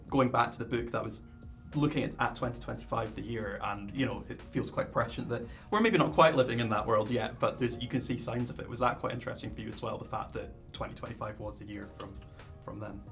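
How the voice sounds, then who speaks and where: Quick, gravelly-voiced interviewer. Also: male voice, medium pitch, fairly even intonation, a bit bright, faint music behind. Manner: quick, hesitant questions with filler words. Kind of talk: press interview.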